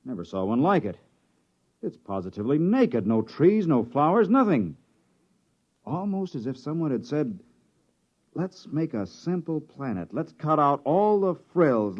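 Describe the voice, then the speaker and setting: An actor playing an excitable, puzzled biologist exploring an alien planet in a 1950s sci-fi radio drama, speaking with wonder. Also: male voice, a little high. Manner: exclamatory, wondering, halting in amazement. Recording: muffled old radio recording.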